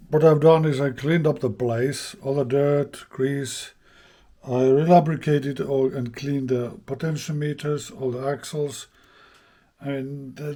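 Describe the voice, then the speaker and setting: A man casually narrating a hands-on repair as he goes, thinking aloud in a halting way. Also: mid-range voice, warm tone. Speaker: host of a vintage radio repair channel.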